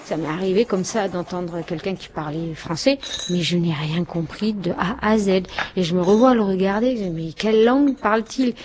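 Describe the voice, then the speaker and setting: A woman being interviewed, recounting things in a lively, emphatic way. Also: mid-range voice, normal speed, few pauses, slight background noise.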